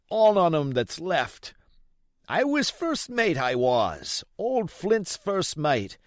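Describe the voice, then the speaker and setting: A man voicing a sick, desperate old pirate in a storybook, wheedling and blustering in rough sailor's dialect. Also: high-pitched, no echo, studio quality, pitched above the performer's own voice, full character voice. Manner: rough nautical dialect, pleading and cursing.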